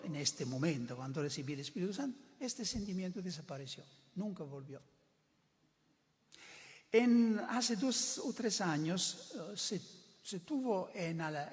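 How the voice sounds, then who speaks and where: An older man who is not a native Spanish speaker, preaching a sermon in an emphatic way, often repeating and restarting words. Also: high-pitched. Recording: reverberant hall.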